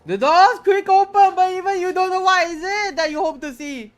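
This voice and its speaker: A man's voice: very high-pitched, moderate pace, soft background music. A man reading a visual novel aloud in a theatrical, playful way, with casual reactions in between. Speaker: streamer.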